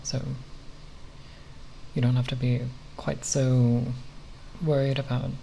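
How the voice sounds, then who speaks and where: A speaker giving an unscripted ASMR ramble in a halting, nerdy, hesitant manner with long pauses. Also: medium pitch, flat delivery, vocal fry, dark tone, poor audio. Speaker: ASMR content creator. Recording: faint background noise.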